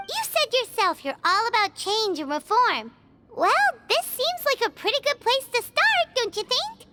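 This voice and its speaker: A woman voicing a tiny, childlike fairy girl companion in a video game, squeaky, perky and earnest. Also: exaggerated voice. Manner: perky, refers to herself in third person. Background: background music.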